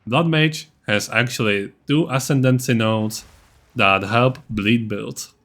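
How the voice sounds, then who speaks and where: Male gaming creator, medium-pitched, casual and matter-of-fact, walking viewers through a guide in voice-over. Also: slow pace, a bit bright.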